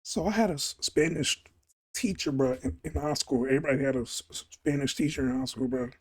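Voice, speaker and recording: A man's voice: mid-range voice, brisk, slightly rough. A man hosting a reaction video, talking casually in slang, rambling and repeating himself. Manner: slangy, rambling, says "bro" constantly.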